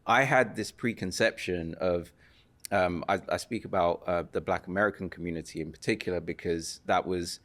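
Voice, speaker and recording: Low-voiced British man, measured and reflective. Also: fairly bright tone. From United Kingdom. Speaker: podcast guest. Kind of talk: casual podcast conversation.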